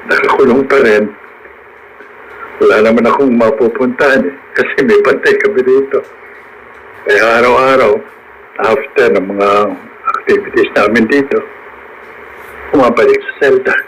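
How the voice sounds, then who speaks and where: A man's voice: mid-range voice, steady tone, unhurried, pauses often, a bit bright, a little hiss or hum, natural voice, rough recording. A man playing a resigned middle-aged father in prison, in a radio drama, with a wry way of talking. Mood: resigned, weary. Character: weary, resigned jailed father.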